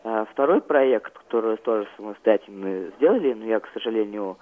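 A teenage male student being interviewed as a radio guest, recounting his experiences haltingly and searching for words. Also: fairly low pitch, lively intonation, narrow, tinny audio.

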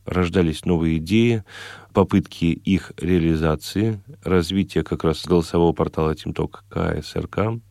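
Мужчина, артист-вокалист, отвечает на вопросы интервью в разговорной манере. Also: male voice, sits low, warm tone, no room sound, clean studio sound. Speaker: interview guest, vocal performer. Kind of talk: conversational interview answers.

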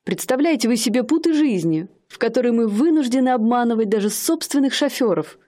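An actress playing a haughty young woman posing as a high-society lady, speaking in an affected, aloof manner in a radio play. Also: lively intonation, a little fast, dark tone, close to an everyday voice.

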